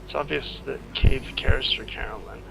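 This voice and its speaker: A man giving casual, rambling playthrough commentary on a video game, halting and trailing off mid-thought. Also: medium pitch, vocal fry, poor audio. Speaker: gameplay commentator, screenwriter. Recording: game music behind commentary. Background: video game music.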